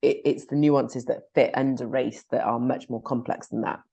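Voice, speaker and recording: A woman with a Welsh accent co-hosting a podcast, talking in a lively, frank and chatty way with quick asides. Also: extremely low pitch, gravelly, breathy edge. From Wales. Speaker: podcast co-host. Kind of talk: casual podcast discussion.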